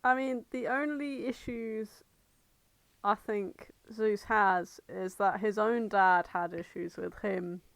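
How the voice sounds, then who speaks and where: A woman's voice: mid-range voice, fairly even intonation, slow, gravelly, dry recording. A woman co-hosting a casual comedic podcast, chatting in an irreverent, sweary, bantering way. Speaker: podcast co-host.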